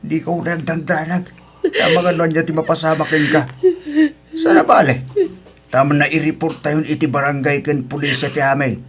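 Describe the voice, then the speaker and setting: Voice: mid-range voice, little pitch movement, normal speed, lightly acted. An actor with an Ilocano Filipino accent playing an older parent who frets, scolds and soothes in a radio drama. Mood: soothing, concerned.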